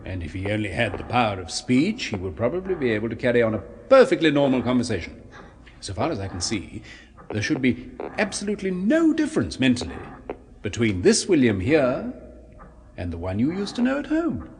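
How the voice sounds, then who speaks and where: An actor playing a patronising, eager British doctor-scientist with precise, clinical speech in a 1970s radio horror drama. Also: male voice, medium pitch, wildly swooping pitch, a little fast. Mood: pleased, reassuring. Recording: noisy old radio recording.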